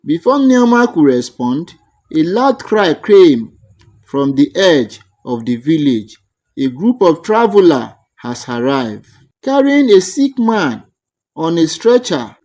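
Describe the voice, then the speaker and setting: A male narrator tells a folktale with dramatic care. His voice sits fairly high and moves in very lively swings, while the delivery stays very slow and deliberate. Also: muffled recording. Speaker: storyteller, narrator.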